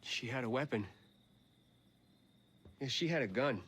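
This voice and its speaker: Whining soldier, medium pitch, pleading, defensive, agitated, video game drama. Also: male voice, animated, unhurried, a bit bright, slightly rough. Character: whiny, defensive, blame-shifting soldier. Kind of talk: video game cutscene acting.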